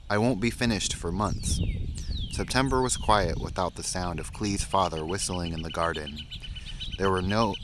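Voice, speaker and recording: A man reading a children's picture book aloud in a measured, expressive storytelling way, pausing between phrases. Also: deep voice, a little hiss or hum. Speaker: story reader.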